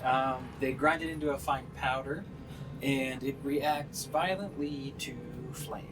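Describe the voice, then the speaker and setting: A man running a tabletop fantasy game, improvising narration and piecing his descriptions together haltingly. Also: mid-range voice, music in the background, low-quality recording. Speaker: game master.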